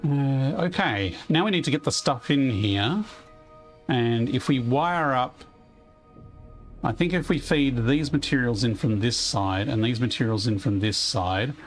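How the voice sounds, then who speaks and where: A man with a loud voice chatting casually on a live game stream, rambling as he plays and reading chat aloud. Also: medium pitch.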